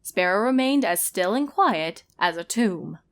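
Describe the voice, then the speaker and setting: A woman reading scripted story narration in a measured, expressive storytelling manner. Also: medium pitch. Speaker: fan fiction reader and narrator.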